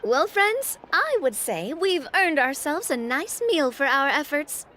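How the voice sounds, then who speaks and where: A generous young leader speaks in a high, animated voice with hearty command. She sounds upbeat and sure of herself. Game music plays clearly under her. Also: a little slow. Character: lively, generous young female group leader. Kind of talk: game voice acting.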